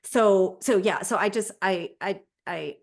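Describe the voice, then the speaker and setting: A woman's voice: mid-range voice, a little slow, vocal fry. A woman speaking as a language teacher and interview guest, explaining conversationally and often circling back over her phrasing.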